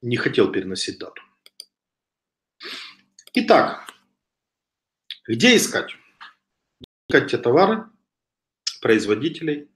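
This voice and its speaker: A man's voice: medium pitch, sing-song, slow pace, pauses often, fairly bright tone. Man teaching over a webcam, echoey room. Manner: conversational, with frequent hesitations and restarts. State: slight cough from a cold. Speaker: online business instructor. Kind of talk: webinar lecture over slides. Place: home room with wooden shelves.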